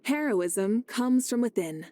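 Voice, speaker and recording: A woman voicing a calm, confident fire goddess and leader in a video game, speaking in a measured, assured, gently encouraging way. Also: on the high side. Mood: earnest, reflective. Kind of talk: scripted game character voice lines.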